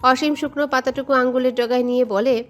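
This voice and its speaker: A woman's voice: high voice, steady tone, a little fast. A woman reading a scripted romantic story as a dramatic narrator, with music behind her. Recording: music under the voice. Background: background music.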